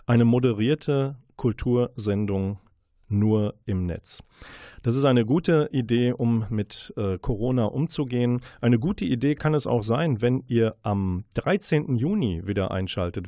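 A man's voice: lowish voice, mellow, dry recording, clean studio sound. A man hosting a radio show, addressing listeners in an informal, chatty way as he would in a sign-off. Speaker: radio host. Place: radio studio.

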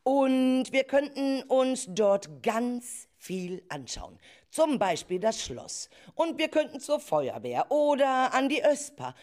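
Woman playing a lively, unhurried children's group leader. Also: high voice, heavily acted. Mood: encouraging. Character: enthusiastic adult woman leading a group of schoolchildren. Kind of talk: amateur school radio play acting.

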